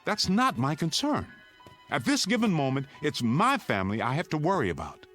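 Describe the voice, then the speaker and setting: An actor playing a stern, resolute suburban father in a radio drama, speaking gravely and firmly. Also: fairly high pitch, very animated, normal speed, fairly bright tone, soft background music. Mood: stern, defensive.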